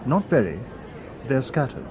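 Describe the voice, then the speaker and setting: An actor playing a polite, logical humanlike robot detective in a radio drama, with formal, precise speech. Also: male voice, medium pitch, big pitch swings, unhurried, crowd noise. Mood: calm, watchful. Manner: formal, precise, unemotional wording.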